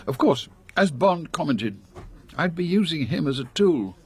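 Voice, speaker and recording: An actor playing an authoritative older British spy chief, brisk and ruthless, with clipped, formal delivery in a radio drama. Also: male voice, on the high side, big pitch swings, husky, heavily acted. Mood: grave, resolute.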